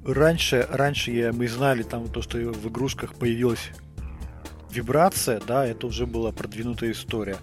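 A man hosting a casual interview, talking conversationally and a bit haltingly as he thinks aloud. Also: medium pitch, fairly even intonation, faint music behind. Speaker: podcast host.

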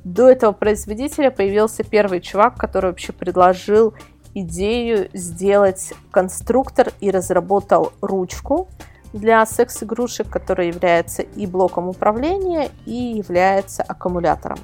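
A woman's voice: mid-range voice, normal speed, darkish tone, soft background music. A woman speaking as an interview guest, explaining things in an informal, rambling way with emphatic repetition. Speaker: guest, website editor and product reviewer.

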